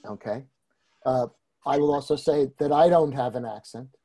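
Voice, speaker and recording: A man with a New York accent, a trainer speaking in a group discussion, pausing often with fillers. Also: mid-range voice, mellow, slightly rough. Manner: hesitant, many filler pauses. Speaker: empathy circle trainer.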